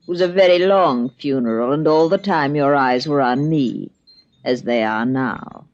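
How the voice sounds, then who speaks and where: A woman's voice: rumbling low, moderate pace, darkish tone, no echo. A proud, imperious seductress from a 1950s radio drama. She phrases everything formally and with theatrical deliberation. The recording is dry and muffled with age. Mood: seductive.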